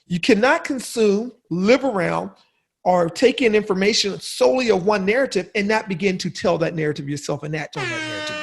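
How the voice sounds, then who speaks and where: A man on a panel discussion, talking emphatically in a husky, gravelly voice and laughing at his own wordplay. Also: high voice. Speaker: guest panelist.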